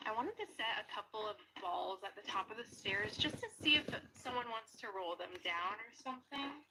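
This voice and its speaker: A woman chatting casually to viewers as a paranormal video host, hesitant and speculative, often dropping into hushed speech. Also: medium pitch, animated, brisk. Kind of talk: casual chat to viewers and companion. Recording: faint background noise.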